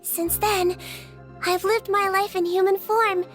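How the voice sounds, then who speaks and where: Game casting: small magical girl doctor, high, gentle, measured delivery over clear music. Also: fairly even intonation, full character voice. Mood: wistful. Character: small sweet earnest Melusine girl doctor in human form. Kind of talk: video game character dialogue.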